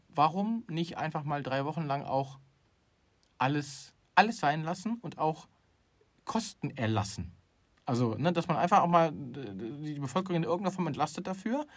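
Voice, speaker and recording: A middle-aged man co-hosting a casual talk show, opinionated and emphatic, with many restarts. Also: fairly high pitch. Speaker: podcast co-host. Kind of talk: casual chat.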